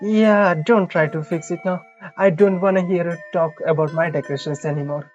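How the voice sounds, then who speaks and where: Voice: medium pitch, fairly even intonation, moderate pace, a little mellow, dull audio, faint music behind, natural voice. A game streamer voicing an easily offended lady dinner host in a visual novel, theatrical and scolding. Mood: hurt, dismissive.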